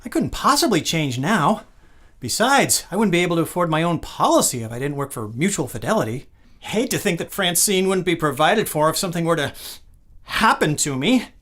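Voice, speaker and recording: A man's voice: fairly high pitch, sing-song, fairly quick, fairly bright tone. A man playing an exhausted, anxious married insurance salesman in a radio play, flustered and stammering. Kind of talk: mid-century radio play acting.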